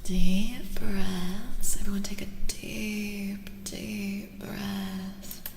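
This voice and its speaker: A woman streamer speaking in soft, soothing ASMR, drifting between a breathy whisper and a hushed voice, close to the microphone. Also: mid-range voice, level tone, drawn-out words, reverberant, slight background noise. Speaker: streamer hosting a live tarot reading.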